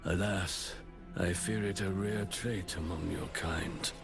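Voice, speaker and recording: A man's voice: low-pitched, fairly even intonation, slow, creaky, exaggerated voice. An actor playing a fretful, world-weary townsman in a fantasy video game, with theatrical, archaic, lamenting speech. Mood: grateful, mournful. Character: fretful, courtly male townsman, grateful yet world-weary. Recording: music behind.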